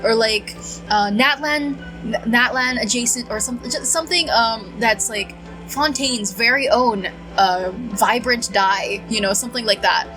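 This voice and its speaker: A woman streamer giving casual, reactive game commentary, halting and repetitive, exasperated and prone to swearing. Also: fairly high pitch, over music, poor audio. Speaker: gaming streamer.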